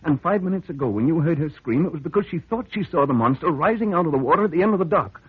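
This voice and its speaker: Anxious, doubtful husband, old-time radio play; husky, hesitant, earnest, on a narrow phone-like recording. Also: male voice, fairly high pitch, animated, fast, dry recording. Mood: troubled, hesitant. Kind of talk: vintage radio drama acting.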